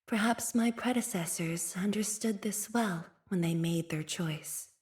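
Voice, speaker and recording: A young woman playing a composed, earnest scientist and leader in a video game, with a soft, slightly breathy voice and measured, formal, thoughtful phrasing. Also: medium pitch, lightly acted. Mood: solemn, resolute. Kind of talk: video game character voice lines.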